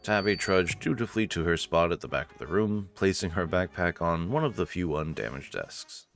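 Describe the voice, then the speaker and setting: A man's voice: low-pitched, animated, moderate pace, vocal fry, fairly bright tone. A man reading scripted narration for a visual novel. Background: faint music.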